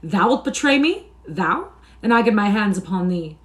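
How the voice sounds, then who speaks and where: A woman voicing a scornful, hostile vagabond father in a storybook, with loud, archaic speech. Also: lowish voice, animated, unhurried. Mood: menacing. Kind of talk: storybook character reading.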